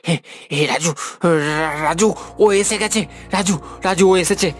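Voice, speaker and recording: A man acting a frightened young man with a fairly high, sharp and tense voice. He stammers and repeats words like someone haunted, in cartoon horror drama, with music underneath. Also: normal speed. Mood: panicked, pleading. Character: terrified young guilty man, haunted, panicky.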